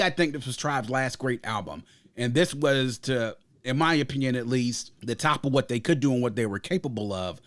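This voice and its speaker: A man hosting a music review podcast, giving opinions in an emphatic, conversational way and repeating phrases for emphasis. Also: medium pitch. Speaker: podcast host.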